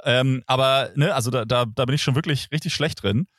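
Male podcast co-host, animated and self-deprecating, dry close sound. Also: medium pitch, a little fast, no room sound. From Germany. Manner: animated, self-mocking, many restarts. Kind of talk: casual remote podcast chat.

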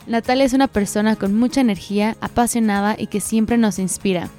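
A woman's voice: mid-range voice, a little fast, mellow, faint music behind. A woman with a Mexican accent hosting a podcast, chatting in an upbeat, casual way. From central Mexico. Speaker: podcast host. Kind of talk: casual interview chat.

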